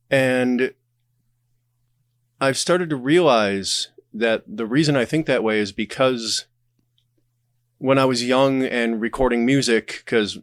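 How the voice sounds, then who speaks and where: Podcast guest guy, average pitch, thinking out loud casually, no room sound at all. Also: dry recording, clean studio sound. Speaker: podcast guest, audio drama creator. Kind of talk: casual reflective conversation.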